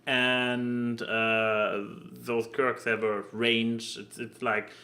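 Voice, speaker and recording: Slow-paced man in halting chat. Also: mid-range voice, flat delivery, bright, forceful tone. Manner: halting, stammering, searching for words. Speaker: podcast co-host. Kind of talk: casual podcast chat about anime.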